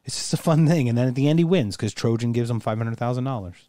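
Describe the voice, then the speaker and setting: A man's voice: medium pitch, fast, warm tone, no echo, clean studio sound. Crude, rambling male podcast co-host. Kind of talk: casual chat.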